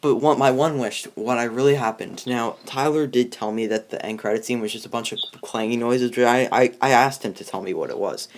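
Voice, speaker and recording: A man with a medium-pitched voice chats casually as a movie review host. He talks in a loose, rambling way and often stumbles over his words as he goes. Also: fairly quick, few pauses.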